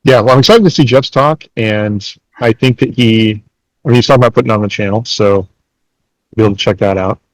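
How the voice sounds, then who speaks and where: A man's voice: fairly low pitch, fairly quick, no room sound, rough recording. A man chatting casually as a podcast host.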